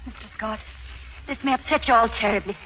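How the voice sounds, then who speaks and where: An actress playing an earnest young Irish maid, urgent and entreating, in 1940s radio drama style. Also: female voice, fairly high pitch, brisk, lightly acted. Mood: earnest, pressing. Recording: muffled, noisy 1940s radio recording.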